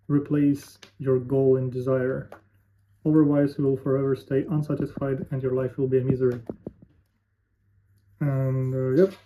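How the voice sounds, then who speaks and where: Musing young man, tinny lo-fi sound. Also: medium pitch. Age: 20s. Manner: musing, halting, thinking aloud with fillers. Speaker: vlogger. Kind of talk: unscripted talking to camera.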